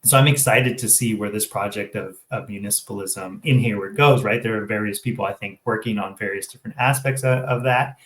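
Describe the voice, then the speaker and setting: A man's voice: fairly low pitch, fairly quick, few pauses, a bit bright, echoey room. A man co-hosting a casual local discussion show, talking reflectively and often checking in with "right?". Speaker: co-host of a local civic affairs show.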